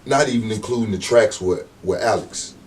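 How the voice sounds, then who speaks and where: A man with a deep voice, a rapper being interviewed, talking in a laid-back, slangy way and often asking "you know what I'm saying". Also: normal speed, recorded from a distance, a little hiss or hum. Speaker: rapper guest. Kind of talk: casual interview talk.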